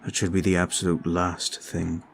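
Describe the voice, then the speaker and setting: A man voicing a soft-spoken, earnest vampire. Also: sits low, monotone, normal speed, vocal fry, a little hiss or hum. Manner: earnest, formal and quiet. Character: devoted young male vampire, solemn and indebted. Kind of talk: ASMR roleplay audio.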